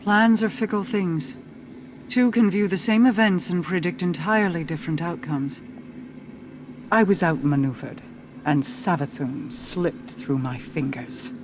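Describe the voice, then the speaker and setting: Woman as slow-spoken, regal, stern queen. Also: lowish voice, slight background noise, close to an everyday voice. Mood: composed, solemn. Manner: measured, formal, imperious. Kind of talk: video game voice acting.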